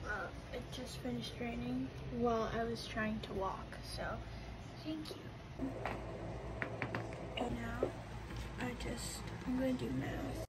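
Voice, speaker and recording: A woman's voice: on the high side, slow pace, pauses often, very noisy. A young woman vlogger chatting casually. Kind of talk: casual chat. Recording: music behind the voice. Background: background music.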